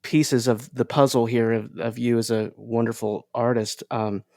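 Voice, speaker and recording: Gravelly man hosting an interview. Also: mid-range voice, little pitch movement, moderate pace, a little mellow, no room sound, clean studio sound. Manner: enthusiastic, complimentary, stumbles and repeats words. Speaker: podcast host. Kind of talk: casual interview conversation.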